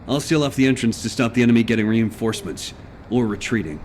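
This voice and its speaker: An actor playing a stern, pragmatic squad leader in a video game, curt and commanding, with music behind him. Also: male voice, mid-range voice, animated, quick pace, darkish tone, slight background noise, natural voice. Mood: brisk, urgent. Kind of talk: video game cutscene acting.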